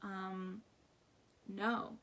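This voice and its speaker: A timid young storybook boy, voiced by a woman reading aloud. He is polite and puzzled, speaking tentatively in a bright tone. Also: medium pitch, little pitch movement, extremely slow. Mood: hesitant, polite. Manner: hesitant, tentative. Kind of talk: children's storybook read-aloud.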